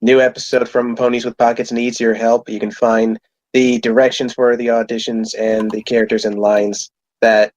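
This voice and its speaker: A man's voice: mid-range voice, level tone, normal speed, darkish tone, no echo, rough recording. A man hosting a casual panel talk and moving it along through news items. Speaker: podcast host.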